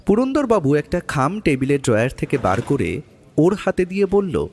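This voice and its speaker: An actor playing a detective's eager companion, a man with a husky voice, narrating their case expressively in an audio story. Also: fairly high pitch, big pitch swings, normal speed, a little mellow, full character voice. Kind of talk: dramatised first-person story narration.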